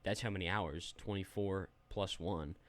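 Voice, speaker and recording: A man's voice: sits low, normal speed, fairly bright tone. A young adult man giving a rambling, self-deprecating, unedited review of a phone app while sharing his screen.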